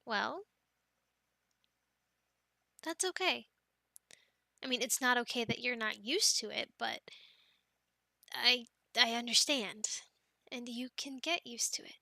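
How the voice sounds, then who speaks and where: Girl doing a sweet teasing gf, high bright voice, giggly, whispers a lot, slow, close dry mic. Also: creaky, dry recording, heavily acted. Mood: reassuring. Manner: soft and giggly, drifting into whispers. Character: affectionate teasing young girlfriend gardening. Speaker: ASMR roleplay performer. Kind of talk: ASMR roleplay.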